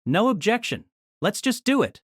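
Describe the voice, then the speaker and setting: A man's voice: high voice, very animated, moderate pace, a bit bright, breathy edge, dry recording, studio quality, full character voice. A man voicing a bitter, defiant younger man in an audiobook drama, confrontational and intense with his villainous father. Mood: bitter, determined.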